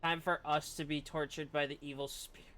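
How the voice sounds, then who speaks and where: A man's voice: fairly high pitch, level tone, brisk, sharp tone. A man casually commenting live on a video game he is playing, as a streamer.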